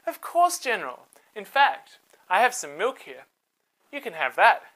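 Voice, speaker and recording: A woman's voice: medium pitch, wildly swooping pitch, a little slow, fairly bright tone, heavily acted. A woman voicing a soothing, falsely welcoming tent-dwelling woman in a children's Bible story, coaxing and hospitable. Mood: coaxing welcome.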